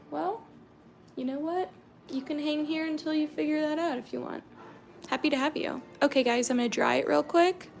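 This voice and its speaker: A young American woman from Georgia chatting casually with viewers on a painting livestream, reading their comments aloud and murmuring softly while she paints. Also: sits high, gravelly. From Georgia, USA.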